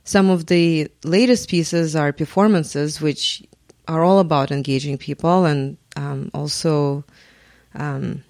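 A woman in her forties with a Russian accent, a guest on a podcast, speaking thoughtfully with frequent pauses and ums. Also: deep voice, steady tone, vocal fry, a little mellow, dry recording. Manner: thoughtful, hesitant with many ums. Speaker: guest artist and professor. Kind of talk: podcast interview.

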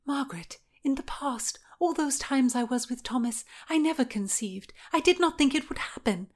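A woman voicing a frightened yet defiant, passionate young pregnant noblewoman in a period audiobook, pleading and at times breathy. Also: sits high, moderate pace, voice pushed up. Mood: desperate.

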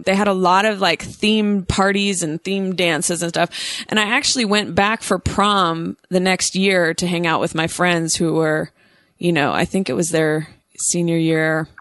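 A woman's voice: fairly low pitch, fairly bright tone, no echo. An American woman in her thirties hosting a show and chatting in a playful, bubbly, goofy way. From United States. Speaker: host. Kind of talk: casual chat.

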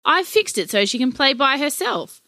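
A woman voicing a lazy, grumpy old grandfather, gruff and dismissive. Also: high voice, sing-song, normal speed, pushed and bright, no echo, voice pushed up, heavily acted. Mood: smug.

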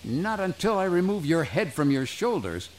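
A man's voice: a little high, sing-song, moderate pace, a little mellow, pitched above the performer's own voice. A man voicing a proud, brash young warrior who speaks in a boastful, defiant way.

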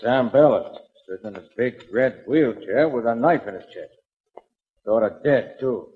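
Husky, wisecracking radio cop underling. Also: male voice, mid-range voice, measured pace. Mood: matter-of-fact. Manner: plain-spoken, deadpan wisecracks. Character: wisecracking, dim, easily spooked police underling, adult man. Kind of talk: 1940s radio drama acting. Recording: dull, lo-fi 1940s radio recording.

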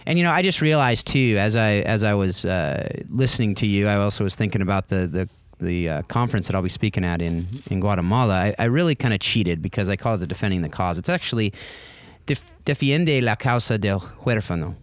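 A man's voice: on the low side, expressive, brisk. An American man speaking conversationally as a podcast host, in a chatty, wordy way. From United States. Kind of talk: conversational interview.

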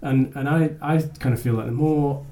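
A man's voice: medium pitch, fairly even intonation, normal speed, warm tone. A man hosting a podcast interview who talks in a loose, rambling way, often restarting his sentences. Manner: rambling, self-correcting, with light laughs. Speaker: podcast host. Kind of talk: conversational interview chat.

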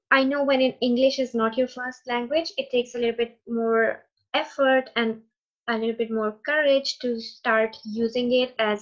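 A woman's voice: fairly high pitch, a bit bright. A woman whose first language is Urdu, talking in a reflective, encouraging way with some hesitant fillers. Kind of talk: casual conversation. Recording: slightly dull lo-fi sound.

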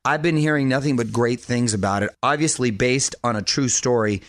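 A man in his forties hosting a radio show from a studio, chatting with guests and reading promos briskly. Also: mid-range voice, fairly bright tone, dry recording. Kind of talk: upbeat radio banter.

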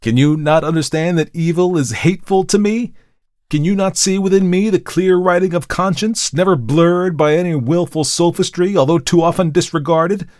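A man's voice: high voice, moderate pace, darkish tone, dry recording, studio quality, pitched above the performer's own voice, close to an everyday voice. A man voicing a desperate, guilt-ridden murderer with impassioned, theatrical pleading in a storybook reading. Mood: pleading, indignant. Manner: impassioned, theatrical exclamations and rhetorical questions. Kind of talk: dramatized literary storybook reading.

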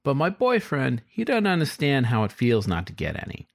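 A man's voice: medium pitch, huge pitch swings, brisk, vocal fry, no room sound, studio quality, voice pushed up, exaggerated voice. A man voicing a restless, defensive young male fox in an audiobook story. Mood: frustrated.

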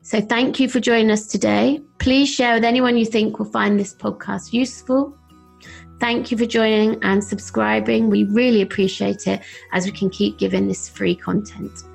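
A woman's voice: mid-range voice, steady tone, moderate pace, creaky, slightly rough, faint music behind. A woman hosting a podcast, giving upbeat coaching advice. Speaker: podcast host.

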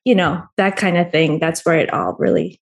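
A woman from the Philippines, a guest artist answering interview questions in a friendly way, often laughing softly mid-sentence. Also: lowish voice, steady tone, moderate pace, vocal fry. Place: Albany region, New York.

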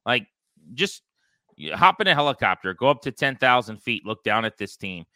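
A man hosting a sports podcast, talking baseball in an animated, conversational style with a co-host. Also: medium pitch, moderate pace, no echo, clean studio sound.

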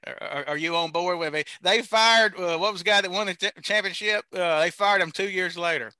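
A man hosts a casual sports podcast with a very bright, high voice. He teases his guests while leading the questions. The sound is dry and close. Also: a little fast, smooth, no room sound. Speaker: podcast host.

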